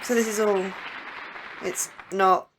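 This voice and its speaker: A woman's voice: medium pitch, fairly even intonation, slow, crowd noise, noisy background, natural voice. An actress playing an anxious, frightened female scientist in an audio drama, speaking hesitantly with stammers. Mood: bewildered, wary. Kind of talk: emotional audio drama acting.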